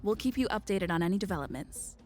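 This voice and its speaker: A woman's voice: mid-range voice, animated, quick pace, a little mellow, faint music behind, natural voice. An actress playing a confident, crafty young female negotiator in a video game, composed and assertive in delivery. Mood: firm, polite.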